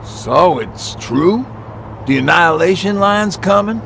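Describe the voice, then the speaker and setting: Gravelly, directive group leader man. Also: sits high, animated, a little slow, heavily acted. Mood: composed. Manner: directive, level-headed. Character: steady, practical male leader of survivor band. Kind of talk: video game voice acting. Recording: vehicle and outdoor noise behind.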